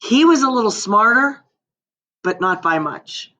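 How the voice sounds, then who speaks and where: A woman reading as a chatty, mock-innocent male storybook wolf who justifies himself, in a conversational, emphatic way with drawn-out dramatic phrasing. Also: on the high side, animated, sharp tone, highs cut off, slight background noise, pitched above the performer's own voice. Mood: self-justifying. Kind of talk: storybook narration in first person.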